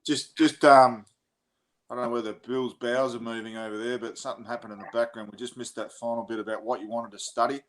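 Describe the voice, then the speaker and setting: A man's voice: medium pitch, steady tone, fast, slight background noise. A middle-aged man hosting a podcast, asking rambling questions and joking around. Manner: jokey, chatty, rambling questions. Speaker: podcast host. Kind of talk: casual interview chat.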